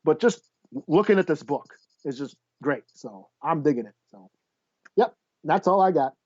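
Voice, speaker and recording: A man's voice: a little high, expressive, normal speed, darkish tone, dry recording. A man hosting a casual comic book podcast, rambling and sweary as he gropes for names. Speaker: podcast host. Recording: slightly dull, dry lo-fi audio.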